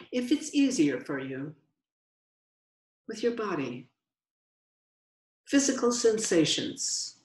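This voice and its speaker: A woman leads a guided meditation with a low-pitched voice for a woman. She speaks very slowly and gently, leaving long pauses between short phrases. The sound is roomy, with clear echo around her voice. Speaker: meditation teacher.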